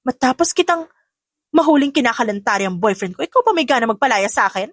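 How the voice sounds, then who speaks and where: A woman's voice: fairly high pitch, expressive, fast talker, no room sound, clean studio sound. A woman voicing a sassy, feisty young mother who is being courted, in a romantic audio drama. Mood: cold, indignant.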